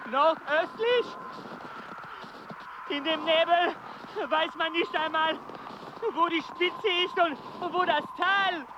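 An actor playing a weary, anxious mountain traveller in a radio play, speaking and narrating hesitantly. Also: male voice, extremely high pitch. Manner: slow, hesitant, deliberate delivery. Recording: noisy, slightly muffled outdoor soundscape.

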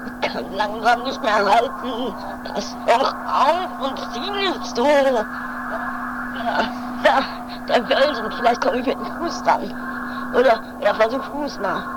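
An amateur radio-play performer voicing an anxious, self-reproaching mountaineer who mutters haltingly and repeats words. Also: medium pitch, lively intonation. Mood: strained, urgent. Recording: noisy homemade 1980s radio play recording.

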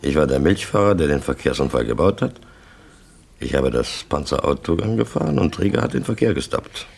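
Abgrundtief knarrender, bedrohlicher Gangsterboss. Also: male voice, rumbling low, big pitch swings, a little mellow, exaggerated voice. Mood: dryly nostalgic. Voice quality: gravelly. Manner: terse, commanding, deliberate. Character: calm, menacing male crime syndicate boss. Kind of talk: German radio crime drama acting.

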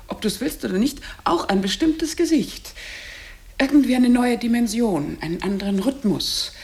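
A woman's voice: a little high, a little mellow. A woman playing a gushing, patronizing wife in a radio play, sugary and earnestly insistent.

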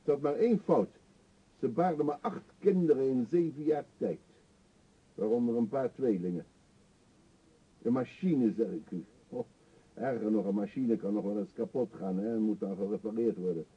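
An actor in a radio play voicing a middle-aged male vagrant, self-pitying and confessional, with a husky, halting, theatrical delivery. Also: medium pitch. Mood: exasperated, bitter. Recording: dull lo-fi radio play recording.

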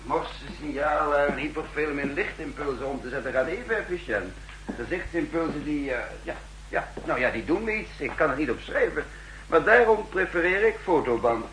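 An actor playing an older, wry and condescending spy chief who also narrates in the first person, in 1960s radio drama style. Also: male voice, on the high side, animated, normal speed, fairly bright tone. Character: aging spy chief and cryptographer, wry, secretly scheming. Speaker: narrator and lead character. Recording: humming, noisy 1960s radio recording.

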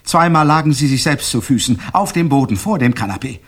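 An actor playing a brooding, jealous man with a husky, breathy voice, formally recalling a night in a radio crime drama. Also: mid-range voice, lively intonation, brisk. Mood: agitated, accusing.

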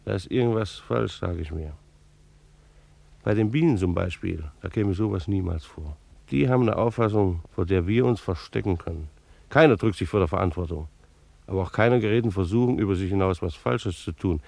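Creaky-voiced, fussy old retired official. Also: male voice, deep voice, a little fast, darkish tone, no echo. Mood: reflective. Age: 60s. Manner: formal, reflective, old-fashioned official's wording. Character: retired bailiff and beekeeper, 65, dutiful, wounded, fussy. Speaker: actor narrating in character. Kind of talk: German radio play monologue and dialogue.

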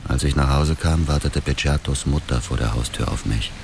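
A grieving, vengeful man in a radio play, with a very deep bass voice. He is terse, cold and guarded. Background ambience is clearly audible. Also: moderate pace, noisy background. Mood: cold bitterness. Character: bitter, vengeful grieving man, coldly calculating. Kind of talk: radio drama, dialogue and first-person narration.

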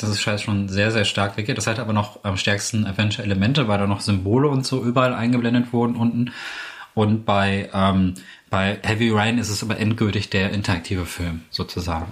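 A man co-hosting a podcast in casual chat about games, rambling with many fillers and restarts, a little under the weather with a cold. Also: fairly low pitch. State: has a slight cold. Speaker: podcast co-host.

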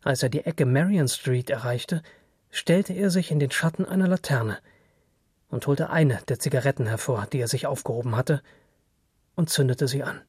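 A man's voice: mid-range voice, normal speed, a little mellow, husky, dry recording, studio quality. A man narrating literary fiction from a script.

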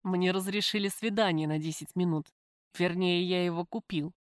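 A woman voicing a tender, worried male lover in an audiobook, speaking softly and earnestly. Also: fairly low pitch, steady tone, normal speed, dry recording, clean studio sound, close to an everyday voice. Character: tender, worried man visiting his imprisoned lover.

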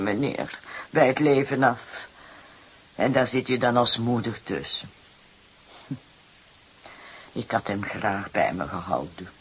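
A performer playing a weary, aggrieved working-class mother of seven in a Dutch radio drama, plaintive and hesitant, with a husky, breathy voice. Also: medium pitch, fairly bright tone, a little hiss or hum, low-quality recording. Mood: sorrowful.

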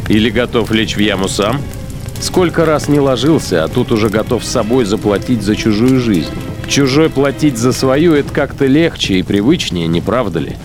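A man playing a cynical German battalion commander in a radio drama, musing deliberately with rhetorical questions. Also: mid-range voice, animated, close to an everyday voice. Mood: cold musing. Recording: music behind the voice.

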